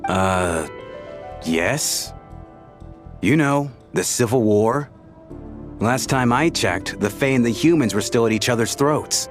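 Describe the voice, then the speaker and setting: A hesitant, lovestruck bodyguard. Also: male voice, mid-range voice, expressive, takes it slowly, pauses often. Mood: hesitant, earnest. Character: lovestruck, cagey bodyguard man from centuries past. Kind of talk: video game voice acting. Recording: game music behind the voice. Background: game music.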